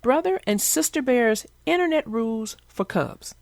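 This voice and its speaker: A woman reads aloud and explains things to children as their storyteller. Her voice sits fairly high and swings widely in pitch, with a noticeable creak. She is emphatic and instructive, recorded close and dry. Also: lively intonation, moderate pace, no echo. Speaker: children's storybook narrator and host.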